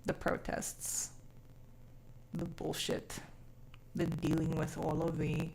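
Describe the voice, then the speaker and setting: A woman's voice: low-pitched, monotone, slow, vocal fry, darkish tone, poor audio. A woman born in South Africa who grew up mainly overseas, chatting casually and expressively as a live streamer, with informal, sweary phrasing. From born in South Africa, grew up mainly overseas from age six. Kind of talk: casual chat.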